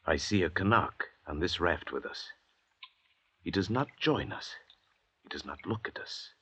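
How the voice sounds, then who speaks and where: An actor playing a haughty, cultured French doctor and murderer in an old radio drama, speaking in a formal, grandiloquent way. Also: male voice, sits low, lively intonation, measured pace, fairly bright tone. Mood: assured, ominous. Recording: old radio recording with hiss, clicks.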